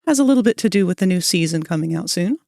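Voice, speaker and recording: A woman's voice: on the low side, lively intonation, fast, gravelly, a little mellow, dry recording, clean studio sound. A woman streamer chatting casually with her viewers while sketching, her voice a little scratchy, greeting people by name and laughing often. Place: cold room at home.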